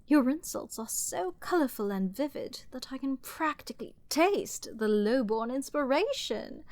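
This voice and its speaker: A woman's voice: fairly high pitch, no echo. A woman playing an arrogant, flirty, dominant princess in a dramatic fantasy audio roleplay, with theatrical aristocratic phrasing. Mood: amused disdain.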